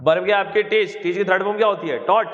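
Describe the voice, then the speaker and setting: A man's voice: high-pitched, fairly even intonation, quick pace. A man with an Indian accent teaches English grammar informally, asking questions and answering them himself as he repeats phrases step by step. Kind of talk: informal bilingual grammar lesson. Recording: reverberant hall-like echo, dull lo-fi sound.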